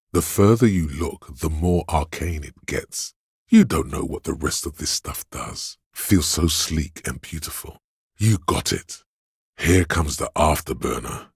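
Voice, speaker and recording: A man voicing a seductive, hedonistic inner voice of craving in a video game, gravelly and husky, coaxing slyly and savouring his words. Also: extremely low pitch, no room sound, studio quality, exaggerated voice. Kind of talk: dramatic video game narration.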